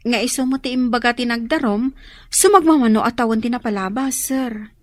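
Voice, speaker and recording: An actress with a Filipino accent playing a respectful, eager assistant reporting to a superior in a radio drama. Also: female voice, fairly high pitch, fairly quick, no echo, lightly acted. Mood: pleased, deferential.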